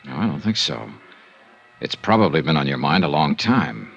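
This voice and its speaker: An actor playing a smooth, wry insurance investigator in a 1950s radio detective drama, with clipped, understated narration and talk. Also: male voice, a bass voice, very animated, normal speed, a bit bright, muffled recording, exaggerated voice. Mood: intimate, probing. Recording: old radio drama with music behind.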